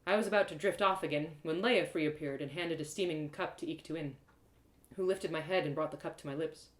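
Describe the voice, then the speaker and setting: A woman reading a first-person fantasy story aloud in a dramatic, expressive way. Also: sits low, a little fast. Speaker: storyteller, author reading live. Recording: roomy echo.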